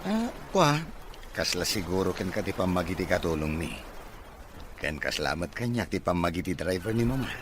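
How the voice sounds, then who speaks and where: A man acting as a friendly bachelor in an audio drama. He is casual and teasing, with a lively, swooping delivery. Clear noise runs behind him. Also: deep voice, moderate pace. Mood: playful, friendly. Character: easygoing bachelor man, friendly suitor and customer. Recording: noticeable background noise.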